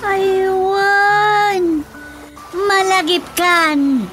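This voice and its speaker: A woman's voice: extremely high pitch, little pitch movement, drawn-out words, over loud music, heavily acted. A woman voicing a flustered, exclaiming village auntie with an Ilocano Filipino accent in a comedic radio drama. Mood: startled, puzzled.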